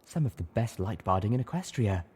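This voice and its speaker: A man's voice: lowish voice, animated, brisk, a little mellow, slightly rough. A man voicing an elegant, theatrical mare pony with a sweetly chiding, sing-song manner in an audiobook. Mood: breezy. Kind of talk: audiobook character acting.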